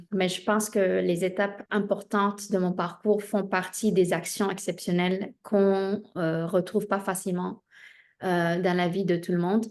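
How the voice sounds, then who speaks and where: A young woman from Spain whose first language is Spanish, introducing herself at length as an interview guest, speaking in measured phrases with frequent hesitant pauses. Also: mid-range voice, darkish tone.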